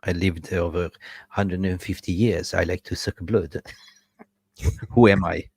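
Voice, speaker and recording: A man's voice: low voice, fairly even intonation, a little slow, vocal fry, a little mellow, slightly rough, dry recording. Swedish-born man in his fifties, dry sound. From Sweden. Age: 50s. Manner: playful, laughs often, slips into jokey impressions. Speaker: co-host of a football fan show. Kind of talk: casual podcast chat.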